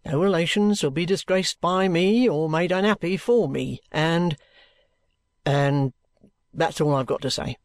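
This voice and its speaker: A man's voice: on the high side, unhurried, dry recording. A man playing a blunt, courteous, stubbornly resigned trooper in a dramatized classic novel, speaking plainly and formally. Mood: resigned, dignified.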